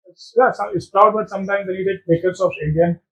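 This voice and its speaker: A man with a Sri Lankan accent who talks with a high voice. He speaks as a guest on a casual talk show and tells stories haltingly, full of fillers. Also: fairly even intonation, fairly bright tone, lo-fi sound. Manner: hesitant storytelling with many fillers. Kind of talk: casual chat.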